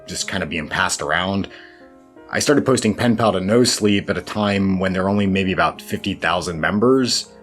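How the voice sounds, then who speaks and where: Low-voiced man, thoughtful and wry, over music. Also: a bit bright. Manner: thoughtful, self-deprecating, wry asides. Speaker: interview guest, horror fiction writer. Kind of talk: reflective interview answers. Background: background music.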